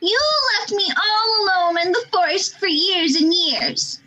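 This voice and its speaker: A woman's voice: very high voice, measured pace, sharp tone, heavily acted. A woman voicing a proud, wounded teddy bear knight who speaks in grand, indignant proclamations in an amateur radio play. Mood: bitter. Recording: dull lo-fi recording.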